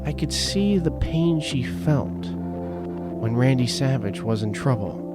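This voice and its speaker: A man reading a scripted story as a podcast host, in a dramatic, deliberate and emphatic way. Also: mid-range voice, lively intonation. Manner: theatrical, deliberate, emphatic phrasing. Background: prominent background music.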